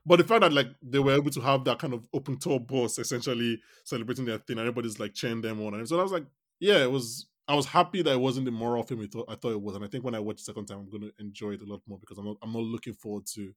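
Fast-talking man hosting a chat. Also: mid-range voice, no echo, clean studio sound. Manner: rambling, animated, acts out quotes. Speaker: podcast host. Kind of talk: casual film discussion.

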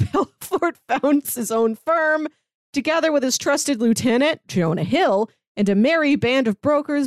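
A woman's voice: a little high, dry recording, studio quality. A woman podcast co-host talking casually and enthusiastically, in a chatty way. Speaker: podcast co-host pitching movies. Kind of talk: casual chat.

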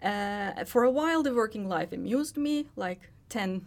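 A woman in her forties speaks English with a Turkish accent as an interview guest. Her pitch sits mid-range for a woman, and she breaks her sentences with restarts and small fillers as she thinks aloud. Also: lively intonation. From Turkey. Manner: halting, many restarts and fillers. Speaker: guest, trademark attorney. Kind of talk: casual interview chat.

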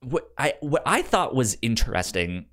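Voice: medium pitch, very animated, moderate pace, a bit bright. A podcast co-host chatting casually about a film, stammering and often repeating syllables and words.

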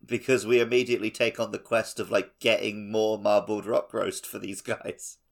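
A man's voice: lowish voice, little pitch movement, normal speed, vocal fry. A man co-hosting a gaming podcast, bantering casually in a dry, joking way. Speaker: podcast co-host. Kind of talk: casual banter about a video game.

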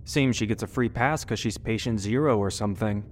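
A man's voice: lowish voice, fairly quick, natural voice. A man playing a cynical, needling spaceship crewman in an audio drama, crude and slangy. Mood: weary, sardonic. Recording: noisy ambient background.

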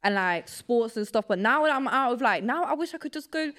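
A woman's voice: a little high, fairly quick. A young woman in her twenties from Poland, a native Polish speaker, chatting casually as a podcast guest. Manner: relaxed, rambling, heavy use of filler words. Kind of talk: casual chat.

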